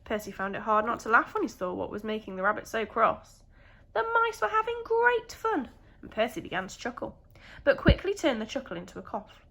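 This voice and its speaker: A woman's voice: a little high, big pitch swings, brisk, creaky, a bit bright. A woman with a British accent, a primary school teacher telling a story expressively to young children.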